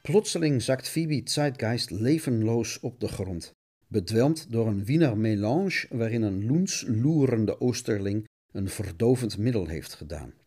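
A man's voice: fairly low pitch, lively intonation, a little slow, dark tone, slightly rough, soft background music. A man playing an emphatic, announcer-like narrator of a pulpy comic-strip radio play. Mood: dramatic, announcing.

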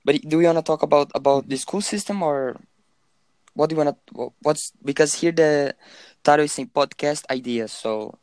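A man's voice: fairly high pitch, normal speed, a little mellow, dry recording. An eighteen-year-old man from Brazil whose first language is Portuguese, chatting casually and searching for words. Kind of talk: casual chat on a call.

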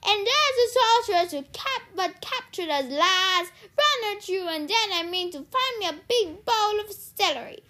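A young female reader voicing a huge, gruff male pirate captain with growling, bellowing pirate talk. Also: very high-pitched, expressive, a little slow, hardly any pauses, sharp tone, voice pushed up, full character voice. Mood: gruff, indignant.

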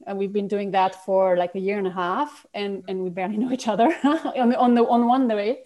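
A woman's voice: mid-range voice, steady tone, fairly quick, mellow. A woman, a guest in a conversational interview, talking reflectively with many restarts and fillers. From Switzerland. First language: French.

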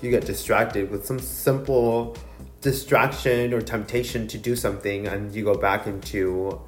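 A man's voice: fairly low pitch, steady tone, unhurried, reverberant, soft background music. A man in his thirties, a podcast co-host, talking candidly in casual chat, laughing often and swearing freely. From Mexican heritage. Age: 30s.

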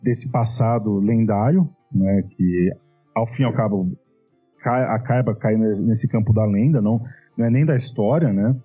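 A man's voice: on the low side, moderate pace, warm tone, breathy edge, highs cut off, soft background music. A man with a Brazilian accent talking in a casual academic discussion, reflective, often tagging "né?" and restarting phrases. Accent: Brazilian Portuguese. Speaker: medievalist scholar.

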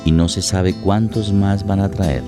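A man's voice: low-pitched, fairly even intonation, unhurried. A man giving a dramatic scripted narration of a radio play. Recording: plucked guitar music under voice.

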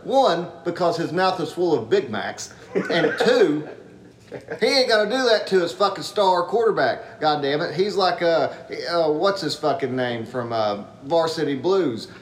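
A man's voice: fairly high pitch, animated, a little slow, roomy sound. A man delivering a crude, heated comedic rant as a segment host.

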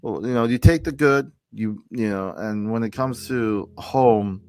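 A man hosting a casual conversation, speaking earnestly and haltingly with frequent restarts. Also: medium pitch, darkish tone. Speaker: podcast host.

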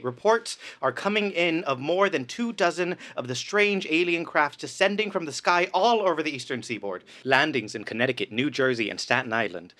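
A man's voice: medium pitch, pushed and bright. A man playing a grave, melodramatic announcer reading news bulletins in a radio play. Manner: melodramatic, portentous.

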